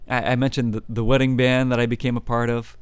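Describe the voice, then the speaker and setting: Young male baritone, musician guest, easygoing and rambling, smooth tone, close dry mic. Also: fast, dry recording. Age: young adult. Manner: relaxed, rambling, many fillers and restarts. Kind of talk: casual interview chat.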